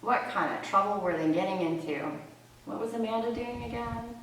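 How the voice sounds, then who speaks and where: A woman presenting read-aloud storytelling and teaching, expressive and conversational as she addresses her listeners. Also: medium pitch, normal speed, slight background noise. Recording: roomy echo, distant microphone.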